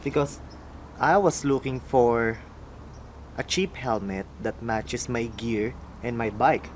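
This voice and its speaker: A young man from the Philippines with a Filipino accent, talking slowly and mumbling a little as he muses aloud in a casual unboxing vlog. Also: medium pitch, a little hiss or hum. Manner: slow, mumbling, musing aloud. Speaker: motorcycle vlogger.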